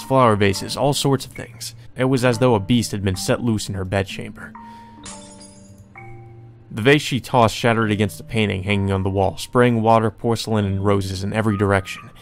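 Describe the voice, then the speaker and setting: Man, lowish voice, let's play narration, casual and colloquial, game music underneath. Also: creaky. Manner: informal, colloquial. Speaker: let's player reading and commenting. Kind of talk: visual novel read-aloud with casual commentary.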